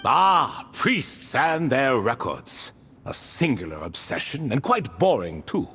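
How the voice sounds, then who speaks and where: Game casting: ancient ibis king spirit, courtly and whimsical, lively mid-range voice, long-winded storyteller. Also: mid-range voice, slow pace, exaggerated voice. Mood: amused, grand. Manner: theatrical, courtly, long-winded storytelling with rhetorical tag questions. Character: ancient talkative ibis king spirit in borrowed cat form, whimsical, nostalgic. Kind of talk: video game character dialogue.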